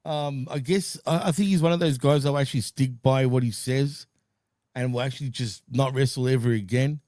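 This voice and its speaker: A man's voice: mid-range voice, brisk, darkish tone, dry recording, studio quality. A man hosting a casual wrestling podcast, laid-back, laughing often and hedging with fillers. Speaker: podcast host.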